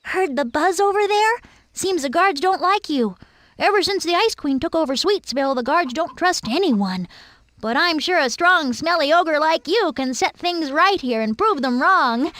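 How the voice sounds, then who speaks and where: A bossy, chatty fairy-tale girl for a cartoon game. Her voice is high and sassy, recorded dry with no room sound. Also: expressive, normal speed, fairly bright tone, no echo. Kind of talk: cartoon video game acting.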